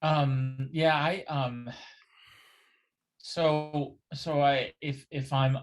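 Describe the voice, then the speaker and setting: A middle-aged man on a video call, asking a question with many pauses, "um"s and restarts. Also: on the high side, little pitch movement. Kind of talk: hesitant spoken question.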